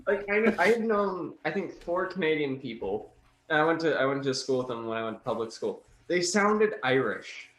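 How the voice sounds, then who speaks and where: A man's voice: fairly high pitch, very animated, normal speed, fairly bright tone. A man co-hosting a podcast in casual chat, often stammering over repeated words, recorded in an echoing room. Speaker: podcast co-host. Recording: roomy echo, background noise, clicks.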